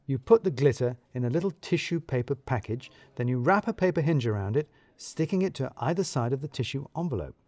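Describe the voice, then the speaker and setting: A man's voice: medium pitch, lively intonation, a little fast, hardly any pauses, a little mellow, no echo. A man narrating a scripted first-person audio drama in a wry, conversational, confiding way.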